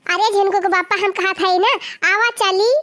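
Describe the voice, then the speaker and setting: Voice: very high-pitched, fairly even intonation, moderate pace, pushed and bright. A performer voicing a nagging, determined village wife in a comedy cartoon, scolding and complaining with an Awadhi accent. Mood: coaxing.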